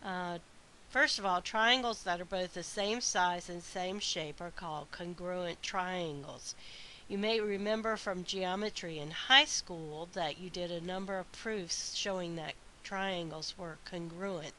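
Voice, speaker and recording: A woman math instructor recording a lecture while congested from a sinus infection, her voice weak and stuffy, explaining slowly with frequent uhs and ums. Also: on the low side, pushed and bright, rough recording. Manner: slow, methodical explaining with frequent uh and um. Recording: faint hiss.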